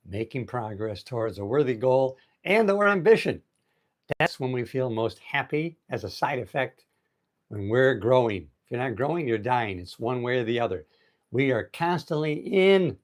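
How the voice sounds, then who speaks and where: A man in his sixties hosting a casual motivational livestream outdoors on an island, upbeat and chatty as he greets viewers. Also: medium pitch. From United States. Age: 60s. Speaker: livestream host. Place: outdoors on an island in heavy rain. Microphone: phone held in vertical mode.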